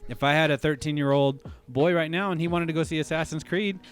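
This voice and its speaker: A man co-hosting a casual podcast chat, thinking out loud and hedging his theories. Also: fairly high pitch, fairly even intonation, fast. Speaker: podcast co-host.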